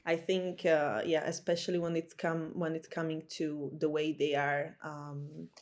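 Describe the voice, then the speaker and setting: A young woman speaks as a podcast guest, her voice sitting a little low for a woman. She talks hesitantly, pausing on fillers as she searches for words in English. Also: little pitch movement. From Slovakia. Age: 20s. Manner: hesitant, frequent fillers, laughs easily. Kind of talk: casual interview talk.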